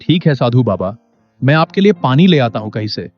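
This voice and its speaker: A man playing an honest, poor villager, earnest and polite, in an animated story. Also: mid-range voice, a little fast, natural voice. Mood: helpful, concerned. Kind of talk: animated Hindi moral story acting. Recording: dull lo-fi audio with music behind.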